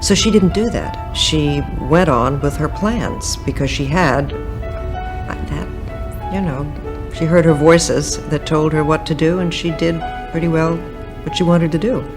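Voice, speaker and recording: A man calmly reminiscing about a parent in an interview, reflective and gentle. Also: on the high side, unhurried. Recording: music behind.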